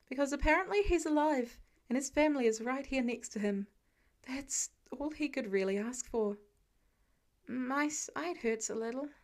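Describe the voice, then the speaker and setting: A woman's voice: high voice, a little mellow. A woman narrating a scripted piece of fiction as an audiobook reader. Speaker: podfic narrator.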